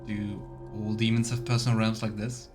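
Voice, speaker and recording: Low-voiced man doing let's play commentary. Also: little pitch movement, normal speed. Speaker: streamer playing through a game. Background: faint game music.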